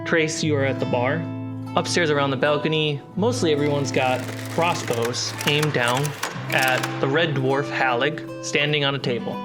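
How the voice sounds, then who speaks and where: A man narrating a tabletop fantasy game as game master, in deliberate, suspenseful short phrases. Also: a little high, fairly even intonation. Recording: music behind the voice.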